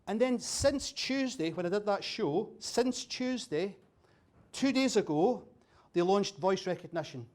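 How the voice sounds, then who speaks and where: Scottish guy, highish voice, jokey after-dinner speech, keeps asking the room stuff. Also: animated, measured pace, darkish tone. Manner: chatty, jokey, quizzing the audience. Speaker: keynote speaker. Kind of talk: humorous after-dinner talk. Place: dinner venue with a bar.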